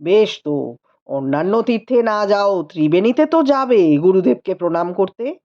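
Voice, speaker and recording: A woman's voice: mid-range voice, expressive, normal speed, warm tone, muffled recording, pitched below the performer's own voice, close to an everyday voice. A woman voicing a learned, caring husband with a formal, questioning manner in a storybook reading. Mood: puzzled, questioning. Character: learned physician husband, adult man, caring, puzzled.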